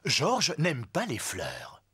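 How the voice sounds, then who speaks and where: A man's voice: mid-range voice, wildly swooping pitch, slow, fairly bright tone, husky, exaggerated voice. A man narrating a children's cartoon in a simple, storybook-like explaining manner. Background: music.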